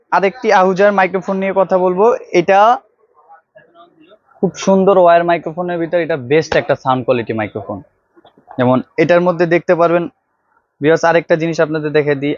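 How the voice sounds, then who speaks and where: A man's voice: fairly high pitch, no room sound. A man with a Bangladeshi accent presents products in an informal, salesman-like way, addressing viewers directly on a lapel mic. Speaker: electronics shop vlog presenter. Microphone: clip-on wired lapel microphone. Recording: dry, slightly dull lo-fi sound.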